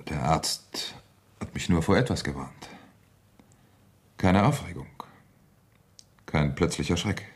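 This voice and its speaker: A deep-voiced husband in a radio crime drama. He talks smoothly and evasively, with money and plotting underneath. The voice stays low. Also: takes it slowly, long pauses, exaggerated voice. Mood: tense, scheming.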